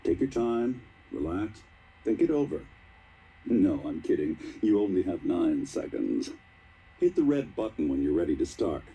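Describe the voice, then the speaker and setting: A man voicing an upbeat, fussing scientist who runs tests in a video game, with an encouraging, halting, politely apologetic manner. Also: low-pitched, big pitch swings, warm tone, slight background noise, full character voice. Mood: encouraging, awkward. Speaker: doctor, test administrator.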